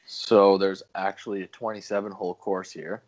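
Very deep-voiced man chatting casually. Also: moderate pace. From Canada. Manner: relaxed storytelling, laughs often. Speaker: guest. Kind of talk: casual chat. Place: home in rural Japan. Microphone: video call.

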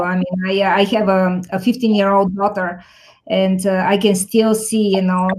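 A woman's voice: mid-range voice, a little mellow, poor audio. A woman in her forties from Bulgaria, giving thoughtful interview answers with frequent fillers and restarts. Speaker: guest, tech executive.

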